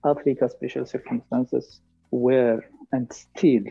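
Husky Algerian man on a phone-like line. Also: medium pitch, fairly even intonation, slow, dry recording. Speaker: climate policy consultant, interview guest. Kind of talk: explanatory interview answers. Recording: thin phone-like call audio, faint music.

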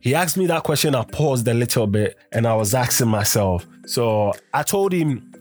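A man's voice: medium pitch, animated, moderate pace, a little mellow, faint music behind. A man with a Ghanaian accent hosting a podcast, talking straight to his listeners in a chatty way with little asides. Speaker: podcast host. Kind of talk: casual podcast monologue.

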